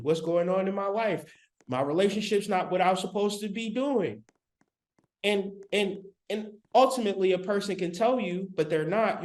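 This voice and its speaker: A man with a high voice lectures on spirituality over a live stream, recorded on his laptop's mic. His delivery is emphatic and colloquial, circling back over his points and talking straight to the people watching. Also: darkish tone. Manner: emphatic, repetitive, colloquial, talks straight to viewers. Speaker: spiritual teacher.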